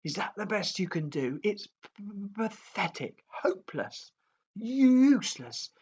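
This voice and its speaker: Brief: man doing squeaky stammering storybook dragon, cheeky and grumpy, lilt, lo-fi. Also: sing-song, slow pace, a little mellow, husky, heavily acted. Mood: jeering. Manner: stammering on first sounds. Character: small grumpy boastful young male dragon, stutters.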